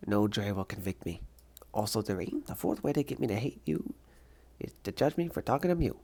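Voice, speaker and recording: A woman voicing a grumpy, deadpan female college student in a comic dub, sounding disgruntled. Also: very deep voice, fairly quick, gravelly, husky, heavily acted.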